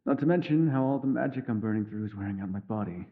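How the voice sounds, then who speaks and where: A man's voice: lowish voice, quick pace, phone-quality sound. A man playing a mysterious, erudite elf in a fantasy ASMR roleplay, speaking in a playful, teasing, slightly formal way. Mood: weary, brooding.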